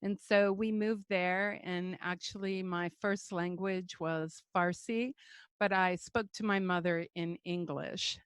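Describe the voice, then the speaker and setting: An older American woman telling her life story in a relaxed chat, halting and full of fillers, on a video call. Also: mid-range voice, clean tone, no room sound. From United States. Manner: slow, halting, many fillers. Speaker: guest speaker. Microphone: computer mic on a video call.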